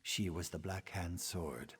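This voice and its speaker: An actor playing a brooding male assassin in a video game, speaking in a grave, deliberate and articulate way. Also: low-pitched, fairly even intonation, fairly bright tone, breathy edge, full character voice. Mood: brooding, intent. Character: brooding, deep-voiced male assassin investigating a betrayal. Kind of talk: dramatic video game acting.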